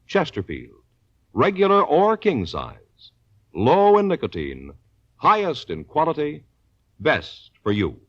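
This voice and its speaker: A man's voice: fairly high pitch, wildly swooping pitch, slow, a bit bright. A man announcing in a scripted 1950s radio style, dramatic and emphatic. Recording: dull vintage radio recording.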